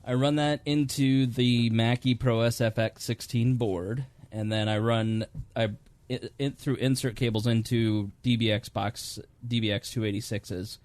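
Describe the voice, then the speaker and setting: A man hosts a podcast from a home studio, speaking into a close studio microphone. His voice sits at an ordinary middle pitch, and the sound is dry and close. He rambles a little, with restarts and self-deprecating asides as he walks through gear. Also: fairly even intonation, a little slow, a little mellow, no echo. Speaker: podcast host. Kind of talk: casual studio chat. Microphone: studio dynamic microphone.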